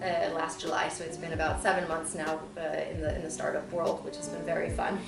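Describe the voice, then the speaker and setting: A woman's voice: deep voice, little pitch movement, a little fast, gravelly. A woman in her thirties from Southern California, answering interview questions in a conversational, explanatory way with frequent asides. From born in Bulgaria, grew up in Southern California. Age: 30s. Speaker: interview guest. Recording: roomy echo, distant mic, faint music.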